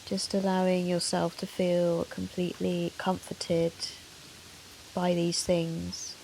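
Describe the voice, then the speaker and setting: A woman yoga teacher leading a guided meditation in a soothing, measured, gentle manner with long pauses. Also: fairly low pitch, completely flat delivery, gravelly, low-quality recording. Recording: soft hiss of rain noise. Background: soft rain sound.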